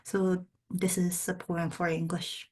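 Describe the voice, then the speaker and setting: A woman poet, a native speaker of Spanish and Korean, reading poems in measured, deliberate short phrases with brief spoken introductions. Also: fairly low pitch, level tone, darkish tone, breathy edge.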